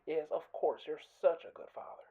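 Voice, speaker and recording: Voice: medium pitch, normal speed, husky. An actor voicing a fawning, sympathetic male priest who agrees gushingly on a phone call in a comic skit. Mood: agreeable, fawning. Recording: tinny narrow phone line.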